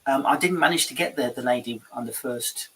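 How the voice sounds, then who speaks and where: A man's voice: mid-range voice, a little fast, pushed and bright, husky, poor audio. A man hosting a show, chatting in a rambling, repetitive way about a market visit. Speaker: show host. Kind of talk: casual studio chat.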